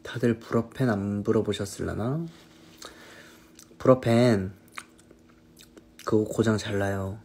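A man in his thirties chatting casually on a phone live stream, answering viewers' questions in a relaxed, easygoing way. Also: lowish voice, slow pace, long pauses, slight background noise. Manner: relaxed, answers viewers' questions, drifts between snacks and hobbies. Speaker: singer hosting a live stream. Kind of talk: casual live-stream chat reading comments.

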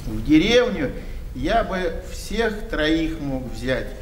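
An actor playing a melancholy, stubborn but gentle old village man in a radio play. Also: a little high, big pitch swings, takes it slowly. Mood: wistful, earnest. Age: older. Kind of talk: 1990 Soviet radio play acting. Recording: echoey, distant radio play, faint noise.

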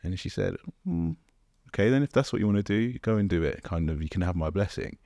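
Thirties guy, laid-back storyteller, deep voice, dry sound. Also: brisk, vocal fry, no room sound. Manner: relaxed, storytelling. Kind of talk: personal anecdote in conversation. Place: bright studio room. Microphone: boom-mounted podcast mic.

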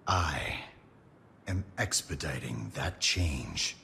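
Deep, slow, cold mastermind. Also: male voice, animated, vocal fry, exaggerated voice. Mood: cold menace. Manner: measured, ominous, commanding. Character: cold, imperious male mastermind with grand deadly plans. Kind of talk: video game villain acting. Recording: loud vehicle noise behind.

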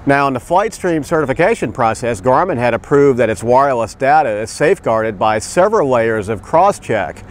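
A man's voice: mid-range voice, lively intonation, moderate pace, hardly any pauses. Man narrating a wry aviation voice-over. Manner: wry, explanatory. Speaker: magazine editor and narrator.

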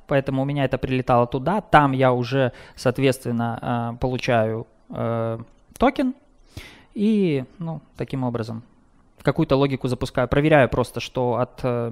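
A man's voice: medium pitch, normal speed, creaky, a little mellow. Male presenter, 30s, technical talk and Q&A, hesitant with fillers, dim projector room. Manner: hesitant, many filler sounds. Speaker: presenter at a developer meetup.

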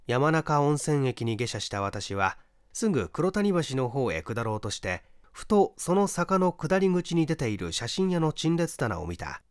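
A man reading a mystery story aloud as a dramatic audiobook, with measured, deliberate storytelling. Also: mid-range voice, no room sound, studio quality. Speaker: story reader, small-theatre performer.

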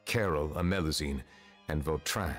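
An actor playing a dignified dragon in human form who serves as chief justice in a video game, speaking formally and with measured reserve. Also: male voice, extremely low pitch. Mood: solemn, regretful. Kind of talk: scripted video game dialogue. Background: background game music.

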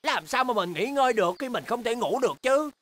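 Whiny, excitable little cartoon bear. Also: female voice, fairly high pitch, fairly quick. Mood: weary complaining. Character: sleepless young brown bear, grumbling and excitable. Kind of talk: children's cartoon dub. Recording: background cartoon music.

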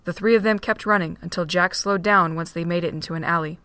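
A woman narrating a fan-made audio drama in a steady, plain reading voice. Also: lowish voice, fast, no room sound, studio quality.

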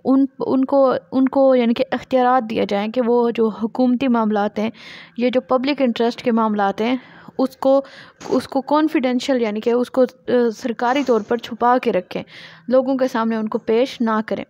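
A woman's voice: fairly high pitch, steady tone, normal speed, a little mellow, no room sound, muffled recording. A woman with a Pakistani accent giving a law lecture, reading formal text aloud and explaining it by asking and answering her own questions.

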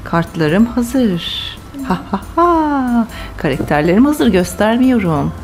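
A woman's voice: on the high side, sing-song, takes it slowly, a little mellow, soft background music. A woman hosting a family vlog, chatting casually with children as they play a game at home. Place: living room at home.

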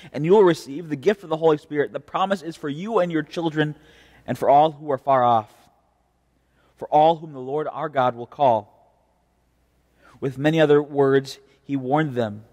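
A young American man preaching a devotion as a pastor, in a measured, earnest and deliberate way. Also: on the high side. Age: young adult. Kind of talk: devotional preaching with scripture reading. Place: indoor church chancel. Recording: reverberant church, mic at a distance.

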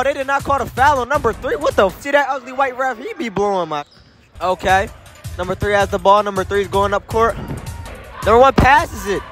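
A young man running a joking street interview in school hallways, firing off quick teasing questions into a handheld mic. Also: extremely high pitch, fairly bright tone. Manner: playful, teasing, rapid-fire questions. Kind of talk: joking public interview and game commentary. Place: school hallways and gym, indoors.

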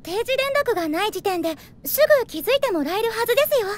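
A woman's voice: extremely high pitch, lively intonation, fast. A woman playing a polite, earnest, timid teenage girl space cadet in a voice drama. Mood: reassuring. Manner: polite, soft-hearted, earnest. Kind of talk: Japanese voice drama acting.

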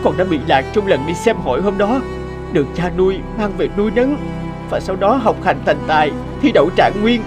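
Cartoon young scholar: devoted, earnest, fairly high voice, animated intonation. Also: male voice, fairly quick, over music. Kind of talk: cartoon voice acting.

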